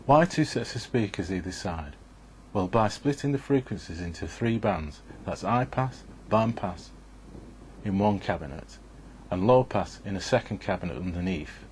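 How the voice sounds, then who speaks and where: A man's voice: fairly low pitch, expressive, normal speed, a little mellow, a little hiss or hum. A man giving a scripted, methodical instructional narration in an explanatory way.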